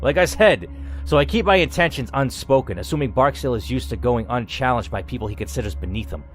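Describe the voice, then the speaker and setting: A man's voice: medium pitch, animated, fast talker. A man reading a story game aloud as channel host, moving between dramatic narration and casual asides. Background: faint music.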